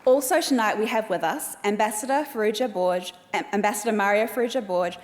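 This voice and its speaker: A woman with a New Zealand accent giving a formal welcome speech as event host, speaking in a measured, deliberate way. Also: medium pitch, a little hiss or hum. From Australia. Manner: measured, reads names slowly and deliberately. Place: large hall at an evening event. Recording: long hall reverb.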